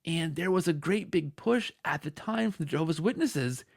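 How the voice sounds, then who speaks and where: A man hosting a conversational podcast interview, telling stories in an animated, rambling way. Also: a little high, moderate pace, creaky, no echo, studio quality. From Canada. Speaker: podcast host.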